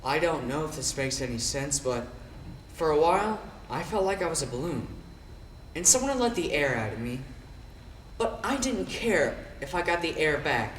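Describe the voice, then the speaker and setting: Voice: mid-range voice, sing-song, normal speed, distant mic, natural voice. A performer playing a downcast, self-deprecating boy telling his story in a reflective theatrical monologue with swells of emphasis. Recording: echoey room from afar, faint noise.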